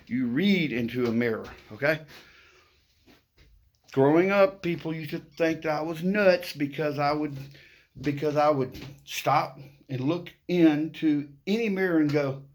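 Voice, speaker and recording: Middle-aged man who stutters, upbeat and playful, pitched a bit high, talking straight to camera. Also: a little slow. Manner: upbeat, playful, keeps adding "okay?". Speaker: vlogger. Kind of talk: casual motivational talk to camera.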